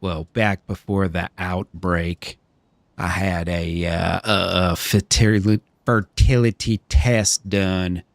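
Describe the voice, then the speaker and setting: A man playing an 82-year-old woman who has smoked since age twelve, with a deep, smoky voice and a stammering, prim politeness. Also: dry recording. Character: woman of 82, chain-smoker, eager to remarry. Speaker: streamer playing a character. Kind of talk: roleplay.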